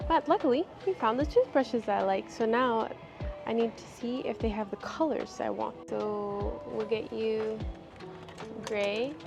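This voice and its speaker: Slow-talking giggly woman in her thirties. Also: on the high side, vocal fry, lo-fi sound, music in the background. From Washington state, USA. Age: 30s. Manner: chatty, giggly, slightly formal phrasing. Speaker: vlogger. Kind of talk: casual vlog chat while shopping. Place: shopping mall and stores. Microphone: handheld gimbal camera.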